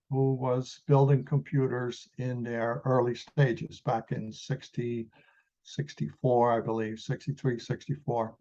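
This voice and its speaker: Dark-voiced man in his eighties from the Netherlands. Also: medium pitch, dull audio. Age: 80s. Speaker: interview guest, retired engineer. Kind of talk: reminiscing in an interview.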